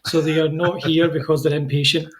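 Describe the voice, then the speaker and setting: Male host, higher voice, chatty and self-deprecating. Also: level tone, fairly quick. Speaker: podcast host. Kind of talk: casual interview chat.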